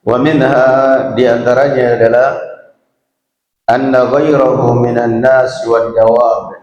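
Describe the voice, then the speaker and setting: A man with an Indonesian accent giving a religious lecture, reciting and explaining in measured, deliberate phrases with pauses. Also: medium pitch, level tone, darkish tone, lo-fi sound. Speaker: Islamic preacher and teacher. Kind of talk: religious lecture, reading Arabic text aloud then explaining it. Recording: very echoey hall with long reverb.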